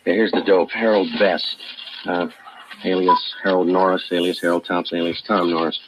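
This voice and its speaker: A man playing a stoic American police detective, narrating in a clipped, deadpan way in 1950s radio drama style. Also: low voice, normal speed, heavy background noise, lightly acted. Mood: businesslike. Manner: matter-of-fact, deadpan, clipped. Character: stoic, businesslike male police detective sergeant. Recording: vintage 1950s radio broadcast with music.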